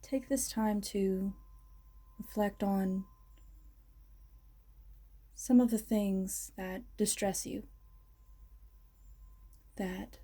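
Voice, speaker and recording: Slow, mellow woman guiding meditation. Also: mid-range voice, steady tone, slightly rough. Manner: ceremonial, measured, soothing. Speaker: guided meditation leader. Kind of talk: slow guided meditation, ASMR.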